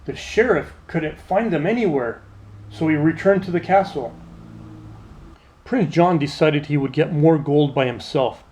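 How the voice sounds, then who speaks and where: A man narrating a children's storybook aloud in an expressive, scripted read-aloud style. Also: fairly high pitch, measured pace, low-quality recording.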